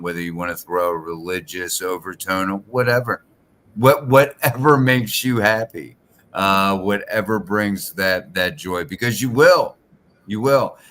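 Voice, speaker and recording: A man's voice: sits low, steady tone, a bit bright, slight background noise. A man in his fifties hosting a podcast interview, talking casually with hesitant fillers. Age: 50s. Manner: slow, hesitant, many fillers. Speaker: podcast host.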